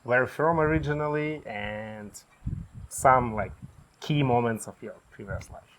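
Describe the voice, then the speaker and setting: A man's voice: medium pitch, measured pace, slight background noise. A man interviewing a property owner outdoors, asking short curious follow-up questions. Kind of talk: casual interview.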